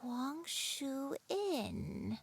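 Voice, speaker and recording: Slow, dreamy gentle granny. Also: female voice, high-pitched, very animated, vocal fry, exaggerated voice. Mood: wistful. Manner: slow, wistful, halting. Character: dreamy, gentle old granny lost in memories. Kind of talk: video game voice acting.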